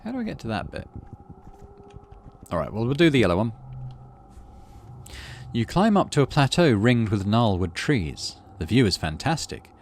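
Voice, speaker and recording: A man's voice: medium pitch, sing-song, moderate pace, long pauses, slight background noise. A man, middle-aged, doing playthrough commentary and reading game text aloud expressively. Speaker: gaming channel host.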